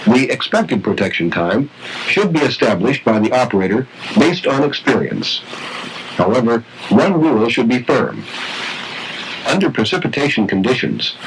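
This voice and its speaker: A man reading scripted instructional narration for a training film in a formal, measured, precise way. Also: mid-range voice, huge pitch swings. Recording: noisy vintage training film soundtrack.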